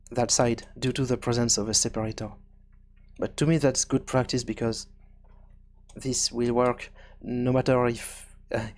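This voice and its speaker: A man presenting an unscripted software tutorial, explaining step by step with frequent pauses in mid-sentence. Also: mid-range voice.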